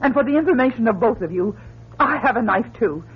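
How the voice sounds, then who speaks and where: A woman's voice: a little high, animated, fairly quick, slightly rough. An actress playing a spirited female astronaut, pleading yet firm, in emotive radio drama style. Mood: urgent pleading. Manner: emotive, urgent. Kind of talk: melodramatic radio drama acting. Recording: muffled, lo-fi old radio recording.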